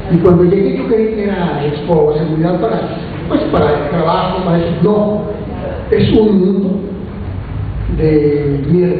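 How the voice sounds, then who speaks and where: Man with a Mexican Spanish accent, audience comment, rambling and fervent, tinny echoey recording. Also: high voice. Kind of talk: informal public comment at a lecture. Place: large auditorium. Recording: echoey hall, distant tinny mic, noisy.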